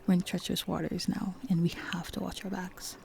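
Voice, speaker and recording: A woman's voice: on the low side, little pitch movement, a little fast, vocal fry, dark tone, slight background noise. A woman playing a principled, determined hero and loyal ally in an audio roleplay, speaking earnestly and resolutely. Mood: concerned, fond.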